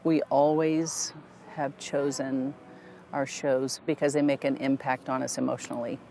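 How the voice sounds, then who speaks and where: A woman's voice: very deep voice, level tone, a little slow, dark tone, slight background noise. A middle-aged woman, a theater director, talking earnestly in a documentary interview, with a few restarts. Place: theater lobby, indoors.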